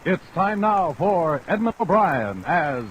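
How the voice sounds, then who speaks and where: A man's voice: high voice, big pitch swings, slow pace, heavy background noise. A man announcing a vintage radio program with a dramatic introduction. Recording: dull vintage radio recording, loud music.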